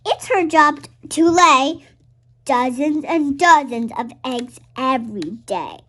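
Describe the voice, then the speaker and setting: A woman's voice: sits high, expressive, slow pace, a bit bright. A young girl reading a children's picture book aloud, now and then stumbling over words and repeating them. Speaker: read-aloud show host.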